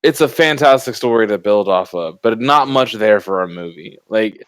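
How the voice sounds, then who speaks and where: A man's voice: lowish voice, expressive, normal speed, clean tone, dry recording. A man co-hosting a podcast in casual chat, opinionated and emphatic, with some loose swearing. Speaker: podcast co-host.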